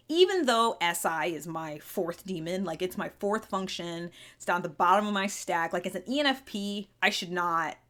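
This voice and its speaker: A woman's voice: mid-range voice, fairly bright tone. A woman hosting a podcast, talking through personality theory in a casual, chatty way full of fillers and restarts. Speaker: podcast host. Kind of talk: casual explanatory monologue.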